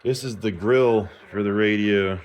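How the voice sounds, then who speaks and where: A man's voice: fairly low pitch, a little slow, darkish tone. A man giving a casual, rambling demonstration in an informal, self-assured way, like a hobbyist vlogger. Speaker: vlogger showing a repaired vintage car radio. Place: repair shop, indoors.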